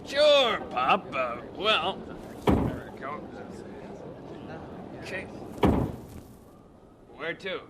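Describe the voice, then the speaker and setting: An actor playing a brash, impatient city cab driver in a radio drama, curt, slangy and mocking. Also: male voice, sits high, big pitch swings, very slow, long pauses, full character voice. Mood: sardonic. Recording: noisy old radio drama recording.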